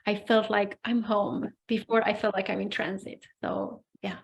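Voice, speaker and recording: A woman from Romania in her forties, a coach who is a guest on a video call. She speaks at a middling pitch in a friendly, chatty manner. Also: normal speed, vocal fry, a little hiss or hum, low-quality recording. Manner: friendly, chatty, laughs easily. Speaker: guest, personal development coach. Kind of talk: interview answers.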